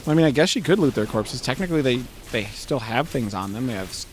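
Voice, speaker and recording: A man co-hosting a gaming video with casual, tongue-in-cheek commentary and deadpan explanations. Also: mid-range voice, fast talker. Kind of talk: casual bantering game commentary. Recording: faint room noise, game sounds behind.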